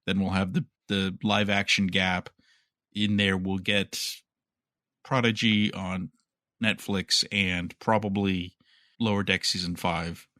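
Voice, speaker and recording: A man's voice: low-pitched, little pitch movement, slow, sharp tone, dry recording, studio quality. A man co-hosting a podcast, casually discussing news and speculating in a conversational way. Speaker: podcast host.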